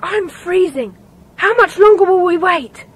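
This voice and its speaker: A woman playing an earnest, expressive schoolboy in a children's audiobook drama. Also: extremely high pitch, a little slow. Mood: tense, excited. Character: Jack, earnest schoolboy in a secret club.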